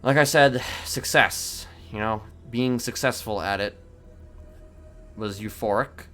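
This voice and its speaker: A man playing a casually sadistic criminal in an online roleplay, talking in a halting, rambling, matter-of-fact way with casual swearing. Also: medium pitch, a bit bright, soft background music. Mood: rambling, self-satisfied. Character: rambling, casually sadistic male criminal confessing his violent deeds. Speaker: streamer role-playing a character. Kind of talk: improvised in-character roleplay dialogue. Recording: clear background noise.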